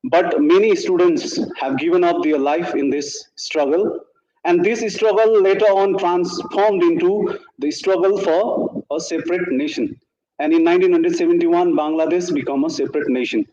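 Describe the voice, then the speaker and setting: A man, Nepali by mother tongue, addresses an audience in a formal, measured way, pausing with fillers and clearing his throat. His voice is warm and mellow, set a little high for a man. The recording is dull and sounds roomy, with the echo of a hall. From India. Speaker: invited speaker at a school event. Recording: dull echoey room recording.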